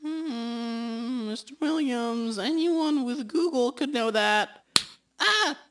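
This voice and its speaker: A woman playing a loyal, slow-witted detective's sidekick in a radio drama, with hesitant, drawn-out delivery. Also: on the high side. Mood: pondering. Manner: hesitant, drawn-out, humming before answering. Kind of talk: amateur school radio drama acting.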